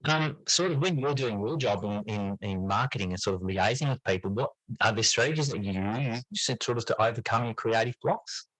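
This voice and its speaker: A man's voice: lowish voice, a little fast, hardly any pauses, fairly bright tone, dry recording, poor audio. A man speaking as an interview guest in casual conversation, hesitant and rambling, often repeating words as he finds his point. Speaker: podcast guest, English teacher and writer.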